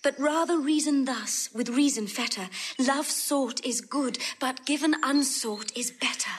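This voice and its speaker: A proud, lovesick noblewoman in a classical audio drama. Her voice is breathy and fairly high, delivering verse with passionate yearning. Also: measured pace.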